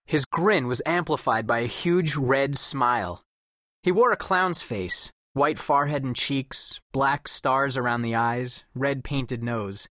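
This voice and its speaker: A man's voice: mid-range voice, takes it slowly, a bit bright, dry recording, clean studio sound. An actor playing an observant, wry teenage boy who tells his own story as scripted audiobook narration. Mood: wry, descriptive. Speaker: first-person audiobook narrator.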